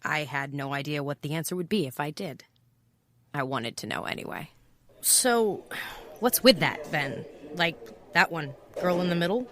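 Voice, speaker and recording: An actress playing a guarded, wry private eye in an understated noir audio drama. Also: female voice, low voice, expressive, normal speed, fairly bright tone. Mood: guarded, brooding. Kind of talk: noir first-person audio drama narration and dialogue.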